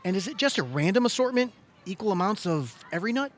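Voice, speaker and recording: Male actor as aggrieved younger brother, obsessive, exasperated, insistent questioning, very animated intonation, comedic audio drama. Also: sits high, fast, slight background noise. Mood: insistent, agitated. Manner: exasperated, insistent, leading questions like a cross-examination. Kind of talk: comedic audio theater dialogue.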